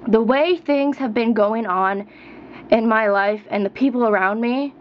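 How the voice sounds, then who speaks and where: A woman in her twenties ranting to camera in her own vlog, emphatic and repetitive, her pitch jumping up as she stresses her points. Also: a little slow, dull audio, a little hiss or hum. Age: 20s. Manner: emphatic, repeats herself, rising pitch. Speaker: vlogger. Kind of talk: heated rant to camera.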